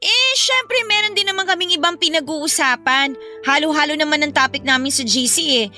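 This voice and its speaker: Woman doing a whiny cheeky filipino student girl, really high n sharp, making excuses, radio drama. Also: fairly quick. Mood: cheeky, teasing. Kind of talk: Filipino audio drama acting. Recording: music behind the voice. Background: music.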